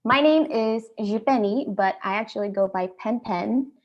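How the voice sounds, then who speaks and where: A young adult woman with an Indian accent, hosting an online panel talk in a friendly, measured way with frequent ums. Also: on the high side, dull audio. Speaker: host.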